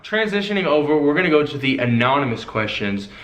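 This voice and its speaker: A man's voice: a little high, very animated, fast talker, fairly bright tone. A young man co-hosting a casual Q&A, laid-back and easygoing, often saying "you know.". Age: young adult. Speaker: co-host. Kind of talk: casual couple Q&A chat. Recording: echoey room.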